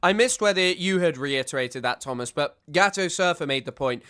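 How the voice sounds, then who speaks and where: Sarcastic man, bright voice. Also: a little high, lively intonation, fairly quick, clear, resonant tone. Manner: sarcastic, rambling, with frequent restarts. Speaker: streamer reacting to a clip. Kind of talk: casual commentary.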